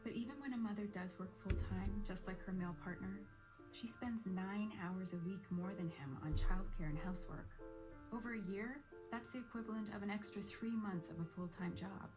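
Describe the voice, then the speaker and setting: A woman's voice: mid-range voice, moderate pace, over music. A woman giving scripted documentary narration.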